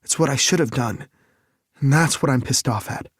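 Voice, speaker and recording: A man narrating an audiobook voices an upset young woman, lifting his voice higher and speaking with heated emphasis. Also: moderate pace, husky, no echo, clean studio sound, lightly acted. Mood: upset, self-critical. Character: upset young woman, self-doubting and defensive. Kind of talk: audiobook character dialogue.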